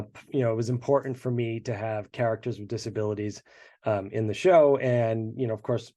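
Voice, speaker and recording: A man's voice: fairly low pitch, dark tone. A congested man, the show's creator, chatting through behind-the-scenes commentary with many fillers. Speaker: show creator.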